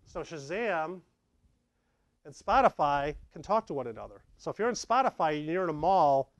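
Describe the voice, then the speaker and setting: Middle-aged man, slightly high voice, chatty presenter, dry sound. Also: moderate pace, no room sound, muffled recording. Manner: lively, conversational, acts out examples. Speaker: tech presenter. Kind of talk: meetup slide presentation. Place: dark meeting room with projector.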